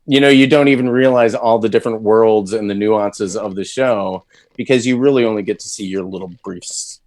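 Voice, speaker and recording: A man's voice: on the low side, fairly quick, a little mellow, no echo. A man, a voice actor, talking casually and thoughtfully in an interview, with many fillers and restarts. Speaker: voice actor guest.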